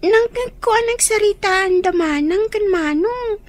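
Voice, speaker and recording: An inquisitive little child in a radio drama. The voice is tiny and extremely high, full of earnest questions. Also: female voice, unhurried.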